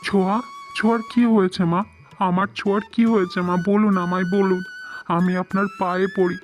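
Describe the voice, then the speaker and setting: A man's voice: high-pitched, little pitch movement, fairly quick, soft background music, close to an everyday voice. A man playing a devoted young husband in a melodramatic cartoon, earnest and emotional, often repeating his phrases. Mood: pleading.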